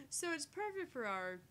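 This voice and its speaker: A woman's voice: high-pitched, fairly bright tone. A woman co-hosting a gaming livestream, chatting casually and reading game text aloud.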